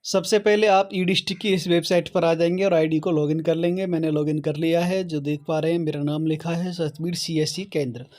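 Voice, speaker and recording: A man's voice: a little high, steady tone, brisk, few pauses, a little mellow. A man hosting an online how-to channel, explaining things step by step to viewers and often adding a short check that they follow. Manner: step-by-step, repeatedly checking with "okay". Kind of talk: explanatory tutorial talk to viewers.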